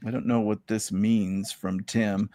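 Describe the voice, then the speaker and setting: A man's voice: on the low side, flat delivery, a little slow, mellow, no echo. Guy hosting a podcast, rambly and full of ums, dry close mic sound. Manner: rambling, many fillers and restarts, chuckles. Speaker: podcast host. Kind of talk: casual chat.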